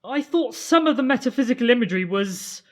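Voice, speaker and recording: A woman playing a nervous Earthling prisoner in an amateur audio drama, hesitant, halting and earnest. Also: a little high, expressive, close to an everyday voice. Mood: nervous flattery. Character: nervous, bumbling Earthling prisoner improvising flattery.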